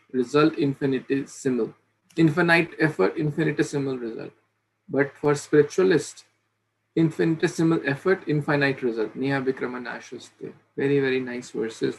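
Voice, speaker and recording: A man in his thirties recaps a scripture chapter for an online study group, earnest and a little halting. His voice has a gravelly edge. It comes through a laptop webcam in a small home bedroom, with a faint hiss behind it. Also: medium pitch, low-quality recording. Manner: earnest, halting with fillers and restarts. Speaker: scripture class leader. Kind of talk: recapping scripture study over video call. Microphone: laptop webcam on video call.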